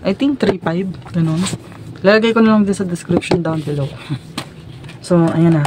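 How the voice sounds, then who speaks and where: A woman's voice: lowish voice, normal speed, noisy background. A Filipino woman chatting informally to her viewers in a casual unboxing and product demo vlog. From Philippines. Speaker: vlogger.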